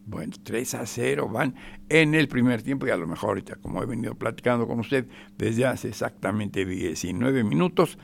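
A man's voice: mid-range voice, animated, moderate pace, creaky, a little hiss or hum. A man with a Mexican accent giving sarcastic radio commentary, emphatic and repetitive, sometimes dropping to a whisper.

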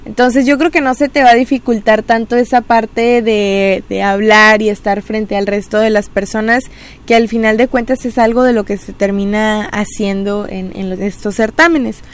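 A woman's voice: medium pitch, steady tone, moderate pace. A woman hosting a casual radio interview, chatty and encouraging, with many filler words. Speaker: radio and social media host.

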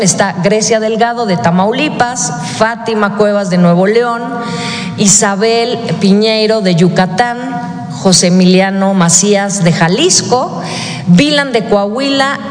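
A woman, presenting announcements at a press briefing in a measured, formal way. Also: medium pitch. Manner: measured, reads names and dates. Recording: echoey hall.

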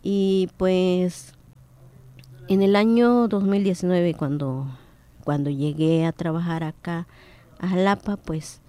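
Woman, native Popoluca speaker, radio guest, slow pace, mellow low voice, hesitant. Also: fairly even intonation. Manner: slow, hesitant, many fillers. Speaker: interview guest, linguist and translator. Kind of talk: radio interview.

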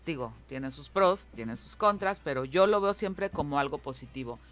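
A woman with a Mexican accent hosting a casual group chat, lively and prompting her guests. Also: low voice, moderate pace, faint music behind. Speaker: host.

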